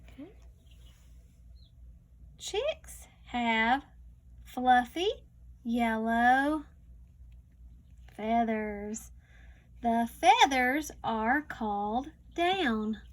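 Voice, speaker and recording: A woman teacher reading a picture book aloud to young students, slowly and deliberately, stretching words and adding teaching asides. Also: fairly high pitch, animated.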